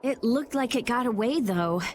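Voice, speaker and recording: A woman voicing an excitable young teenage boy adventurer who talks eagerly and exclaims. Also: fairly high pitch, moderate pace, a little hiss or hum, lightly acted. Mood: curious. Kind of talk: video game voice acting.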